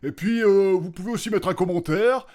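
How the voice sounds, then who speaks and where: A man's voice: high voice, brisk, darkish tone, lightly acted. A man acting a grumpy, insolent presenter who talks bluntly and offhandedly in a comic audio-drama skit. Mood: offhand.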